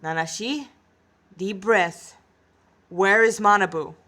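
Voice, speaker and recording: A woman's voice: medium pitch, takes it slowly, pushed and bright. Woman playing a male guild boss in an audio story, stern and professional, worried about his kids. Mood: urgent, stern. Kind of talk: dramatic amateur audio story.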